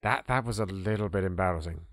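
A man's voice: sits low, fast talker, no room sound, clean studio sound. A man with an English accent hosting a let's play, giving theatrical, wry, playful commentary.

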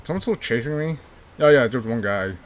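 A man's voice: mid-range voice, animated, fairly quick. Chatty male gamer narrating his play. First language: not English, by his own joke. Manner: chatty, self-mocking, repeats phrases under pressure. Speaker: gaming YouTuber. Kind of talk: casual let's-play commentary.

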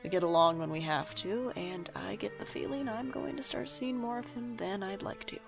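A woman's voice: medium pitch, normal speed, vocal fry, music in the background, natural voice. A woman playing a sardonic young night-shift worker in an audio drama, with a dry, casual delivery. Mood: wry narration. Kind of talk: audio drama acting and first-person narration.

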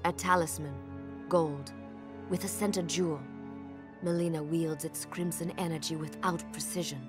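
An actress playing a solemn, dignified refugee villager from another realm in a video game, speaking formally and gravely. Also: female voice, deep voice, fairly even intonation, normal speed, music in the background, lightly acted. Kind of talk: dramatic video game cutscene acting.

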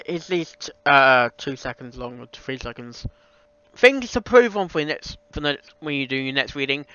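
A young man with a bright, clean voice gives a casual critique. He speaks haltingly and circles back over his own phrases. The recording is close and dry, though a little dull. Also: on the high side, clean tone, no echo. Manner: halting, repeats himself. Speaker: reaction video host reviewing a fan audio reading. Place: small room at a computer, indoors.

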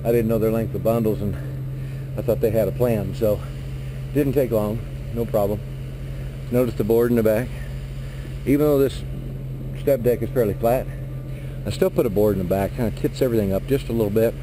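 A middle-aged man, a truck driver, walking around his trailer outdoors in a yard and explaining his work in a relaxed, plain-spoken way into a handheld action camera. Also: on the low side, brisk, pauses often. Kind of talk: casual vlog walkthrough. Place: outdoor industrial yard beside a flatbed trailer. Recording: noisy outdoor camera audio.

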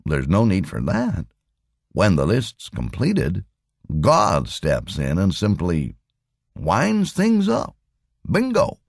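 A man voicing an animated, worried engineer. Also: sits low, slow pace, creaky, husky, no room sound, studio quality, exaggerated voice. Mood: explaining, excited. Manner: urgent, animated, conversational. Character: excitable, worried male computer engineer, cigar smoker.

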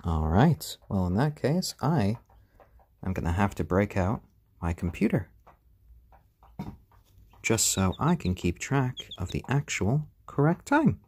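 Gentle, husky-voiced man. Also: fairly low pitch, sing-song, slow pace, long pauses. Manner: gentle, soft-spoken, talks to chat and chicks. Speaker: live stream host. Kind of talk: casual live stream chat. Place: home room. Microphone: handheld phone.

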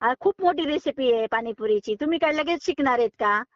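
A high-voiced woman argues on a phone call. She is firm and exasperated in an unscripted exchange. The audio is close and dry, slightly dull and lo-fi. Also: expressive, a little fast, dry recording. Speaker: online recipe video creator receiving a prank call.

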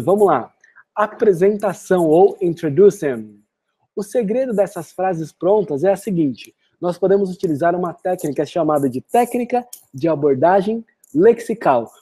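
A man with a Brazilian Portuguese accent teaching English phrases in a lesson, saying example sentences slowly and deliberately, then translating them. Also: high-pitched, dark tone, husky. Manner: slow, deliberate model phrases alternating with translations. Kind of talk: screen-recorded language lesson.

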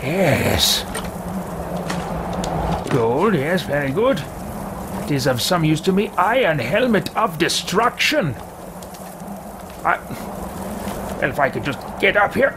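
A man's voice: on the high side, sing-song, slow pace, long pauses, noisy background. A man voicing a lone, bitter old wizard in video game roleplay, haughty and scornful, with pompous, theatrical muttering. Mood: haughty, musing.

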